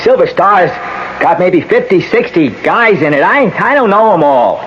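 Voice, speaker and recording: High-voiced, defiant young street tough. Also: male voice, big pitch swings, normal speed. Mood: defensive. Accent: American. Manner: slangy, protesting. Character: defiant, streetwise young male gang member under arrest. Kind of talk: 1980s radio drama acting. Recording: muffled, noisy old radio broadcast.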